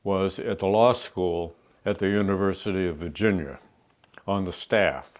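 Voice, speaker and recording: An older man from New Hampshire with a New England accent, an Army veteran rambling through his life story with many pauses and fillers. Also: sits low. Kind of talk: interview. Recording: dull lo-fi sound.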